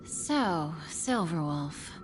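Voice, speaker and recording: Low, unhurried, enigmatic woman mentor. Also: lively intonation, heavily acted. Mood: calm, solemn. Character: calm, enigmatic woman mentor with tender resolve. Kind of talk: scripted game voice acting. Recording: music behind the voice. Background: clear background music.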